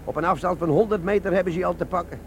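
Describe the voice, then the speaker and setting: Gravelly old army sergeant, scheming bluffer, comic radio play, noisy old recording. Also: male voice, high voice, very fast. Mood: conspiratorial. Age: older. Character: scheming older army sergeant, cheeky, bluffing, overweight drinker.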